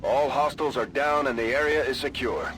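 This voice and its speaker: Male actor for game operations officer: businesslike, dutiful military reports, mid pitch, under loud soundtrack. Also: brisk, close to an everyday voice, poor audio. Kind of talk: scripted game mission dialogue. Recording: loud game music behind. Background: loud game soundtrack music.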